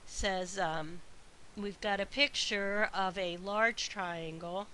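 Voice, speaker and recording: A woman math instructor recording a lecture while congested from a sinus infection, her voice weak and stuffy, explaining slowly with frequent uhs and ums. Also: medium pitch, steady tone, sharp tone. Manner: slow, methodical explaining with frequent uh and um. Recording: faint hiss.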